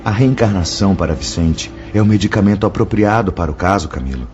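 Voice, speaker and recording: An actor playing a solemn, stern spirit instructor in a Brazilian radio drama, speaking in a formal, declamatory way. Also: male voice, low voice, animated, quick pace, a little mellow. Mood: solemn, instructive. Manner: declamatory, formal, rhetorical questions. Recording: slightly dull audio with music underneath. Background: background music.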